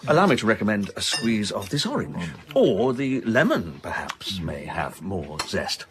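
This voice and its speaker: An actor playing a suave, teasing gentleman with oily, attentive politeness in a period radio drama. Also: fairly low pitch, sing-song, moderate pace, a little hiss or hum. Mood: ingratiating.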